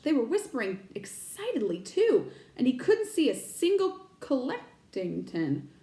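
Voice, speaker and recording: A high-voiced woman reads a storybook aloud with lots of rise and fall in her voice. She is a teacher, expressive, and she pauses to explain words to her young listeners. The sound carries an echo from the room around her. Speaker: teacher reading aloud to children.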